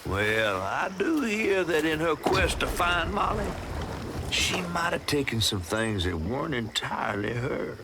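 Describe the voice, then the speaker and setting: An actor playing an old, folksy, wry Southern storyteller in a video game, drawling like someone telling a folk tale. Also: male voice, fairly high pitch, sing-song, a little slow, noisy background. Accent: American South. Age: older. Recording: music under the voice. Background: game music.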